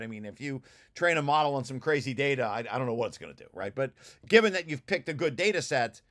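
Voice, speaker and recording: A man's voice: medium pitch, fairly bright tone. A man giving an informal technical explanation as a presenter, often restarting and repeating his phrases. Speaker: presenter of a research paper, study group leader. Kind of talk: informal technical explanation over shared slides.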